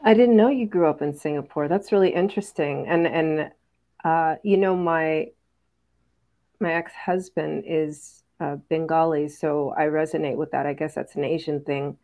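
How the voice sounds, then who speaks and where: A middle-aged woman, a mother, talking things through in a reflective, casual conversation, pausing often with fillers. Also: deep voice, warm tone. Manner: thoughtful, unhurried, many fillers and pauses. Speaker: mother on a parenting talk panel.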